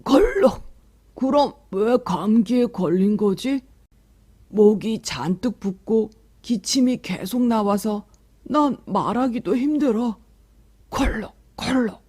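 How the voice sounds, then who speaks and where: Casting: a woman playing an achy, complaining storybook body part, very slow and mellow, labored delivery. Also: on the high side, animated, husky, full character voice. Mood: strained, sick. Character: sick child's weary, achy talking body parts. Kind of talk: children's picture book read-aloud.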